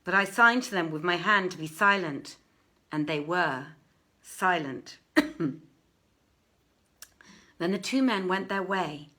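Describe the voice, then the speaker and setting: A woman reading an old literary tale aloud as a storyteller, with expressive, measured phrasing. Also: on the low side. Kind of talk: dramatic live literary reading.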